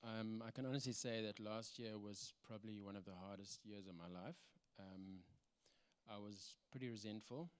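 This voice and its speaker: A man in his thirties with a South African accent, giving a personal church testimony in a halting way with many ums and restarts. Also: fairly low pitch, smooth. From South Africa, Cape Town.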